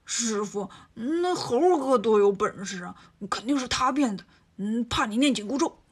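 A woman's voice: fairly high pitch, lively intonation, breathy edge. A woman voicing a sly, lazy male pig-monk who stirs up trouble with a whiny drawl. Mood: stirring. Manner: whiny, stirring up trouble, drawn-out.

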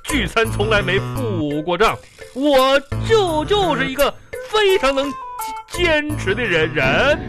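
Goofy stuttering office worker, eager and cheeky, super swingy pitch, music behind. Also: male voice, big pitch swings, slow pace, exaggerated voice. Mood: cheeky, boastful. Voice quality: stammering. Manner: repeats syllables, clownish, eager to butt in. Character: bumbling, eager, stammering male office employee, cheeky joker. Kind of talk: live comedy radio play.

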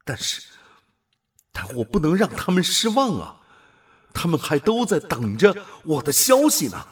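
A man's voice: a little high, wildly swooping pitch, measured pace. A man playing a dutiful, awestruck space explorer in a radio drama, with a reflective, emotive delivery. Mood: torn, hesitant. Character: dutiful male human explorer, transformed, torn and awestruck. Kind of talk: dramatic radio play acting.

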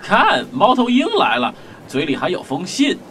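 A lively boy acted by a man in a children's radio play. The voice sits a little high and moves expressively, with clear noise behind it. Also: normal speed, full character voice. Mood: excited. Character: Jack, lively young boy playing on the beach. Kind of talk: children's radio drama acting.

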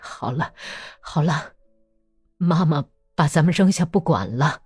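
A curt, grief-stricken male officer, read by a woman lowering her voice, speaks in short, quiet phrases. The recording is dry and close, with faint music behind it. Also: measured pace, slightly rough, dry recording. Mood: curt, grieving. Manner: terse, subdued. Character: grieving curt Cossack officer, middle-aged man.

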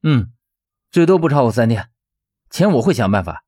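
A man's voice: mid-range voice, sing-song, moderate pace, warm tone. A man voicing a principled, put-upon schoolteacher in his twenties for an audiobook, resigned and placating in manner. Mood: restrained anger. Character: resigned, principled 27-year-old male schoolteacher, kind but put-upon.

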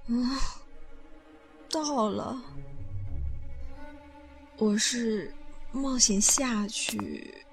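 A woman playing a brash, sharp-tongued young female assassin, muttering her thoughts in a fan audio drama. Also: a little high, drawn-out words, pauses often, over loud music. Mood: grumbling. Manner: impulsive, sharp-tongued, inner monologue.

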